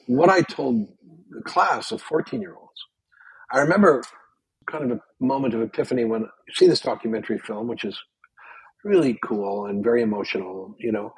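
An older man from Canada, whose first language was Yiddish, telling stories in a casual podcast chat with lively restarts. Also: mid-range voice, slightly rough, no room sound, rough recording. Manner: animated storyteller with frequent restarts. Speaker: guest.

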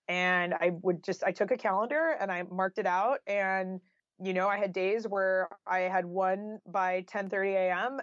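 A woman's voice: fairly low pitch, no echo, lo-fi sound. A woman giving conversational interview answers as a guest author, explaining informally with lots of "like" and "you know".

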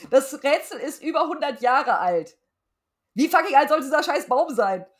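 A woman with a fairly high-pitched voice speaks as a co-host in a relaxed podcast conversation. Her way of talking is blunt and slangy, with no attempt at polish. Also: a little slow. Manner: blunt, colloquial, salty-tongued. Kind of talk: casual podcast chat reviewing an audio drama.

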